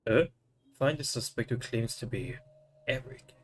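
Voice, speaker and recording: A man's voice: medium pitch, lively intonation, a little slow, slightly rough. A man streaming a game, making casual muttered remarks as he plays.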